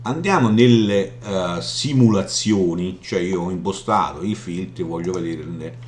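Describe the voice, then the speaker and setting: Low-voiced man presenting in a roomy echo. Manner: halting, explanatory, frequently checking with "ok?". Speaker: software tutorial presenter. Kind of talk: informal screen demo walkthrough. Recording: roomy echo, audible background hum noise.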